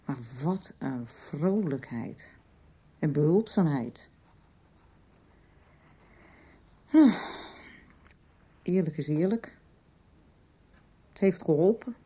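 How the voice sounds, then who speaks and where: An actress in a radio soap playing a troubled, wry mother and recovering alcoholic, middle-aged and halting. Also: sits low, expressive, warm tone, husky, full character voice.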